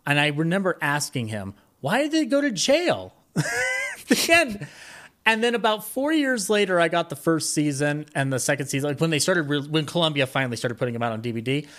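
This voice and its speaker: A man speaking as a podcast guest, reminiscing casually in a rambling, halting way that often circles back mid-sentence. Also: on the high side, sing-song, fairly bright tone. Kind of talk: casual conversational interview, reminiscing.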